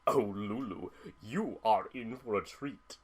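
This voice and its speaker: A man's voice: on the low side, takes it slowly. A man voicing a mischievous, boisterous, teasing creature of chaos in a fan-made audio drama. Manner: theatrical, teasing.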